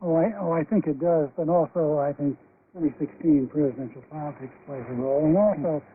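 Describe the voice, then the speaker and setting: A man offers political analysis in a slightly high voice. His phrasing is measured and hesitant, and it comes through a narrow shortwave radio signal with faint noise. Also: fairly even intonation. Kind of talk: unscripted news commentary.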